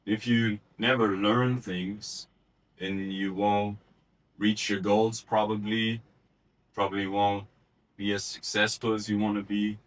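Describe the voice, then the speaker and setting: A man giving a short unrehearsed practice speech in a measured, deliberate way with occasional fillers. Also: lowish voice, level tone, low-quality recording. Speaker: speaker giving a practice talk.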